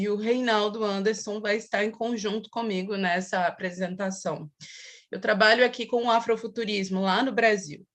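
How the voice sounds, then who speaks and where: A woman's voice: mid-range voice, a bit bright. A woman from Brazil with a Brazilian Portuguese accent, a native Portuguese speaker, introducing herself as a panelist on a video call. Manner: halting in English, fluent in Portuguese. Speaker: panelist, artist and researcher. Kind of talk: self-introduction on an online panel.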